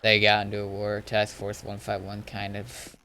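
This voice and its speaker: A man giving a casual, rambling gaming commentary in a halting way, often trailing off. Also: fairly low pitch, completely flat delivery, pushed and bright, a little hiss or hum. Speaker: gaming video host. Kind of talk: casual rambling gameplay commentary recapping roleplay events.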